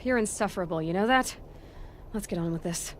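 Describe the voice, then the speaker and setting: A woman's voice: mid-range voice, brisk, a little hiss or hum, close to an everyday voice. An actress playing a stubborn young woman adventurer in a video game, with a frustrated, sardonic and determined way of talking. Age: young adult. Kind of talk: naturalistic video game acting. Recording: music behind the voice.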